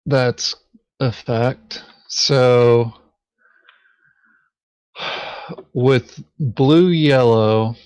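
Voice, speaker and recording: Very slow-talking man thinking aloud. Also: mid-range voice, darkish tone, smooth, dry recording, lo-fi sound. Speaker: board game player. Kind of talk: casual game table chat.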